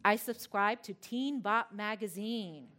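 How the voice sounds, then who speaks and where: A woman's voice: mid-range voice, slow pace. Woman giving a storytelling talk. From Philadelphia, United States. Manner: warm storytelling with playful asides to the crowd. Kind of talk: personal talk to a live audience.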